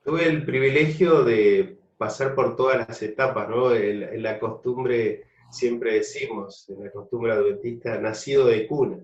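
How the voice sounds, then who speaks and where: A man's voice: mid-range voice, measured pace, few pauses, darkish tone. A man with an Argentine accent, a pastor reflectively and fondly telling his life story in an interview. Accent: Argentine Spanish. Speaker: pastor guest. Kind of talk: interview testimony. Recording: distant, roomy, dull sound.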